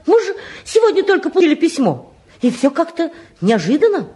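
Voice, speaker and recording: High-pitched, flustered middle-aged aunt. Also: female voice, wildly swooping pitch, a little fast, warm tone, exaggerated voice. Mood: flustered, evasive. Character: flustered, evasive middle-aged housewife and aunt, politely fussy. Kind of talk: 1950s radio play acting.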